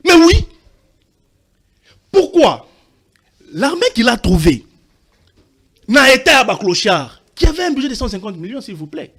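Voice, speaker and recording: A man's voice: extremely high pitch, very animated, unhurried, long pauses, fairly bright tone, husky. A man from Katanga arguing insistently in a heated TV debate, talking over the others and mixing French with Lingala. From Katanga, DR Congo. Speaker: debate panelist.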